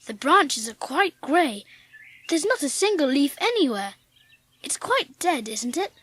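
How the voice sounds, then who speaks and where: A woman's voice: high-pitched, very animated, a little slow, fairly bright tone, heavily acted. A woman playing a frail, imperious and eager upper-class English boy in an audiobook drama, earnest and well-spoken. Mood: curious, wistful.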